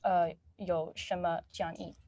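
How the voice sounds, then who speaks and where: A woman's voice: on the low side, flat delivery, slow, warm tone, no room sound. A young woman in her teens, a student interviewer speaking politely and carefully in a prepared, formal style. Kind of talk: prepared formal introduction and questions.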